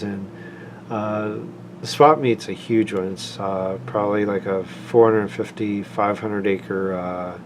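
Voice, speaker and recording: A man with a fairly low voice walks through a product in an informal review. He explains plainly and haltingly, without a script. A faint, steady hum of room noise sits behind him.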